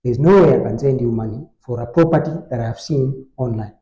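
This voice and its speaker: A man on a podcast, explaining an online scam step by step in a relaxed conversational way, with frequent fillers. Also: medium pitch, a little fast, roomy sound, muffled recording. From Kenya. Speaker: technology expert on a podcast. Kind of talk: conversational storytelling.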